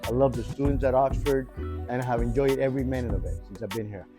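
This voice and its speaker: A man's voice: mid-range voice, steady tone, fast, warm tone, faint music behind. A middle-aged man from Cuba, a teacher answering interview questions proudly and emphatically, now and then restarting a phrase.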